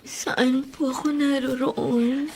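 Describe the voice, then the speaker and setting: A woman's voice: high-pitched, level tone, takes it slowly, slight background noise. An actress playing an anguished, determined mother in a Filipino radio melodrama, tearful and pleading. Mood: weak, faint. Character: anguished, determined young mother fighting for her child. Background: loud dramatic music.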